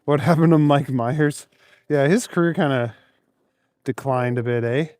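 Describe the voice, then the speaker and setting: A man's voice: fairly high pitch, measured pace, darkish tone, dry recording. A man live streaming while out on cold city streets, reading chat aloud in an easygoing, chuckling, rambling way. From Canada.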